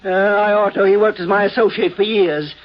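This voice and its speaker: Man acting a blustering high-pitched old scientist. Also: steady tone, a little fast, crowd noise, natural voice. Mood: indignant. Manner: blustering, sputtering, indignant. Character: irascible old scientist, about eighty-five, stubborn and scornful. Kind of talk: 1950s radio drama acting. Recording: dull 1950s radio broadcast recording.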